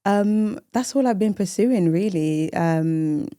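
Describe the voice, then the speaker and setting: A woman's voice: medium pitch, slow pace, warm tone, clear, resonant tone, dry recording, studio quality. A woman in her early thirties chatting casually as a guest on a podcast. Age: early 30s. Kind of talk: casual chat.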